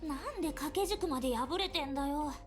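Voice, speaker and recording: An actress voicing a rough-spoken, blunt and protective young woman in a visual novel game, gruff and tomboyish. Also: sits high, very fast, soft background music, close to an everyday voice. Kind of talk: voiced visual novel dialogue.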